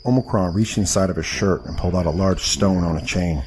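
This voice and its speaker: A man's voice: deep voice, brisk, darkish tone, slight background noise, close to an everyday voice. An actor playing a young man narrating his own 1870s adventure in a dramatic scripted audio drama. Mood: awed.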